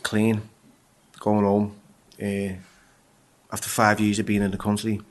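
A man's voice: fairly low pitch, completely flat delivery, unhurried. English man telling raw prison stories. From England. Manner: swears freely, stammers and repeats words. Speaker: guest. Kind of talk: casual interview storytelling.

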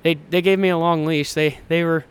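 Chatty young man with a clear, high voice. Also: fairly even intonation, a little fast. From Kansas. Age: 20s. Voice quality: high-pitched voice. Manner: chatty, self-deprecating, rambling with restarts. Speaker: hunting ranch owner. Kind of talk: casual podcast interview.

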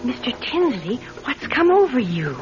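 Flustered high-voiced secretary, old radio. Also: female voice, sing-song, normal speed, music in the background. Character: young female secretary, naive, flustered by her boss's husband. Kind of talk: old-time radio drama acting. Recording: muffled vintage radio recording.

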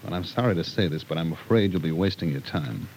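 An actor playing a tough, matter-of-fact New York police detective, blunt and businesslike, in an old-time radio drama. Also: male voice, deep voice, lively intonation, quick pace, a little mellow. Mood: blunt, grave. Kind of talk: old-time radio soap opera acting. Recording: noisy old radio recording.

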